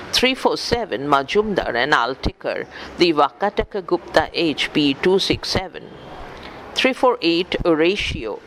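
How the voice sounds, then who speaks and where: A man with a fairly high voice reads scholarly text aloud at a slow, methodical pace. His pitch rises and falls in a very lively way as he voices references and punctuation. There is faint noise behind him. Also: poor audio. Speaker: audiobook reader for visually handicapped listeners. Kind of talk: read-aloud of an academic book and its footnotes, spelling out references.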